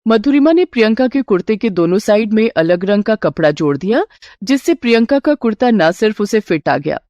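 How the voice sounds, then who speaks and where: Casting: female storyteller for moral bedtime tales, very lively intonation, mid pitch, close dry recording. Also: brisk, no room sound. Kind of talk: scripted narration of a Hindi moral bedtime story.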